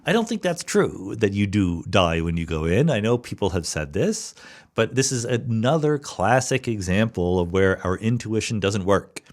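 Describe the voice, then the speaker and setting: A man's voice: on the low side, sing-song, normal speed. A man hosting a podcast, patiently explaining physics ideas and often checking in with "right?" and "okay?". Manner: thinks aloud with frequent "right?" and "okay?" check-ins. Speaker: podcast host answering listener questions. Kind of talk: explanatory solo podcast talk.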